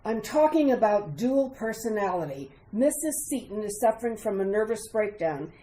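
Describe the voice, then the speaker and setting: A woman's voice: mid-range voice, sing-song, moderate pace, mellow, lightly acted. A woman playing a serious, insistent doctor in an old-time radio drama, with an urgent, declamatory delivery. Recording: echoey room with faint noise.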